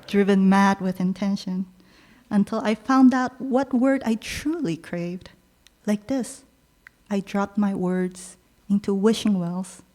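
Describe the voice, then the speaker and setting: Slow, measured woman poet reading. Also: medium pitch. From Peru. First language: Korean. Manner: slow, measured delivery with soft pauses between lines. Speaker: poet reading her own work. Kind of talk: poetry reading.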